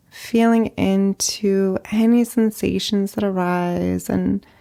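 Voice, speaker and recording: A woman leading a guided meditation as a therapist, speaking gently in short phrases with long pauses. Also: medium pitch, gravelly. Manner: slow, gentle, pausing between short phrases.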